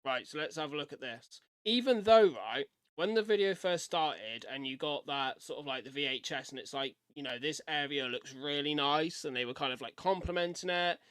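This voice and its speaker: A man's voice: fairly high pitch, normal speed, few pauses, fairly bright tone, smooth, no room sound. A man giving a casual live-stream reaction, reading things aloud and commenting as he goes. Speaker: streamer reacting to horror videos.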